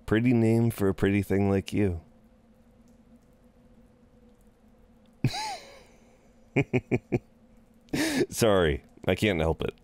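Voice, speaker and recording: A man's voice: fairly low pitch, a little mellow, exaggerated voice. A man playing a flirtatious, chatty incubus innkeeper in a roleplay audio drama, welcoming and rambling with hesitant asides. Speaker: innkeeper at a front desk.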